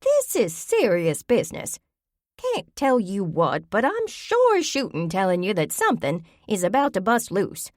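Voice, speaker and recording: A woman's voice: medium pitch, huge pitch swings, unhurried, a little mellow, no room sound, clean studio sound, voice pushed up. A woman voicing a stubborn, feisty old grandmother with an emphatic, folksy way of talking in an audiobook. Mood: insistent. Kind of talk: audiobook character voice.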